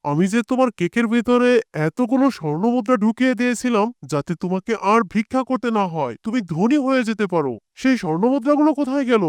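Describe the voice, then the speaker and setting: An actor voicing a stern but devout king with a declamatory manner in an animated moral story. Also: very high-pitched, fast, clean tone, no echo. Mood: indignant.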